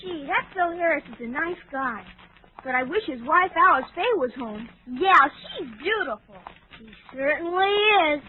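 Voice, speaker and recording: Very high, eager child on old radio. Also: female voice, lively intonation, normal speed. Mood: admiring. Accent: American. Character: eager, mischievous child in a Halloween gang. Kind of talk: 1940s radio comedy acting. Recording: muffled, noisy old radio broadcast.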